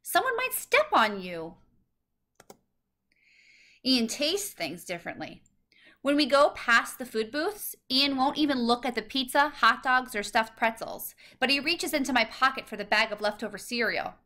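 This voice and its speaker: Bright-voiced woman reading a storybook. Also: a little high, animated, normal speed. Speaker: storybook reader. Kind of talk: scripted children's story narration, read aloud.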